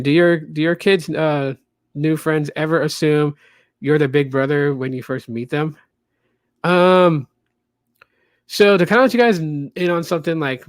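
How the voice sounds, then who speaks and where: A man's voice: on the high side, no room sound, studio quality. A man in his late thirties with a light voice, casually chatting as a podcast host, rambling with many fillers. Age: 30s. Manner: loose, rambling, frequent fillers. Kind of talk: casual livestream podcast chat.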